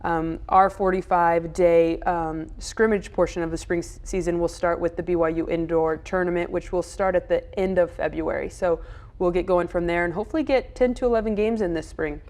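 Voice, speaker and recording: A woman's voice: lowish voice, steady tone, moderate pace, darkish tone. A woman soccer coach giving casual answers in an interview.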